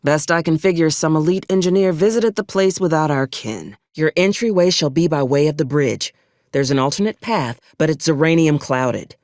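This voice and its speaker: A stern military acquisitions officer from a video game, played by an actor, pragmatic and coolly menacing. The delivery is clipped and formal with a sardonic frontier turn, moving widely in pitch. The sound is dry, with no room around it. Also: expressive, moderate pace, darkish tone, no echo, close to an everyday voice. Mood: matter-of-fact, businesslike. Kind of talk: scripted video game dialogue.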